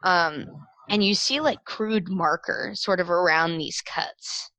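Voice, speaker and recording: A young woman runs a tabletop game in a low but bright, forward voice. She describes scenes casually and haltingly, piecing them together as she goes, and the sound is close and dry. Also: no room sound, rough recording. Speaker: game master. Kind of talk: improvised tabletop game narration.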